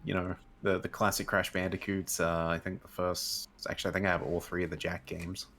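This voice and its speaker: Guest, male, very deep and gravelly, casual rambling storyteller over a home mic. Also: level tone, brisk, a bit bright. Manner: rambling, self-correcting storyteller. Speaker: interview guest. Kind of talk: casual chat.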